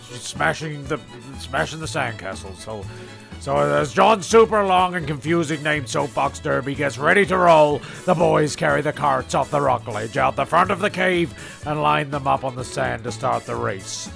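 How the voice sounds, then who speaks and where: Very creaky, rambling male narrator. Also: a little high, animated, moderate pace, a bit bright, soft background music. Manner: hesitant, rambling, repeats himself. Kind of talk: rambling comedy radio play narration.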